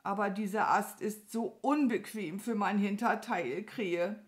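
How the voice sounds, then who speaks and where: A woman voicing a carefree, good-natured, childlike cow in a children's story, with a naive and unhurried manner. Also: medium pitch, pitched above the performer's own voice, natural voice. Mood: gently pleading. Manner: naive, unhurried, moos before speaking.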